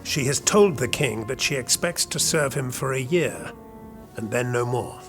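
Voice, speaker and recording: A man's voice: medium pitch, expressive, unhurried, music in the background, lightly acted. A man playing a scheming, disdainful medieval royal chamberlain in an audio drama, with formal, condescending speech. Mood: dismissive. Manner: smooth-worded, condescending, formal. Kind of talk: historical audio drama acting.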